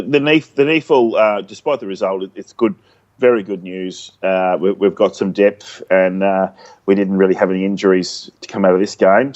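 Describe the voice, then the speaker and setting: A low-voiced middle-aged man co-hosts a sports chat. He stumbles and restarts his sentences often. His audio sounds dull and lo-fi. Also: darkish tone. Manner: halting, many restarts and stumbles. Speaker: podcast co-host. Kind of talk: casual sports podcast chat.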